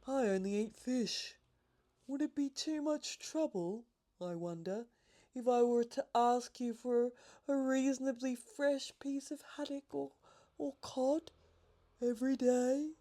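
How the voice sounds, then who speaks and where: A woman voicing a timid, polite and excitable male pelican in a storybook, with drawn-out phrasing. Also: high-pitched, pitched above the performer's own voice. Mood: nervous, pleading. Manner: hesitant, courteous, drawn-out.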